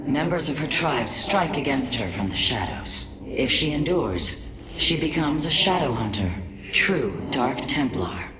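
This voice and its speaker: A woman voicing a proud, solemn alien matriarch in a video game, speaking in a grave, ceremonial, deliberate way. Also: a bass voice, very animated, over a noisy crowd, heavily acted. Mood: solemn, revering. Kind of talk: dramatic scripted game cinematic dialogue. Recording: loud ambient noise behind.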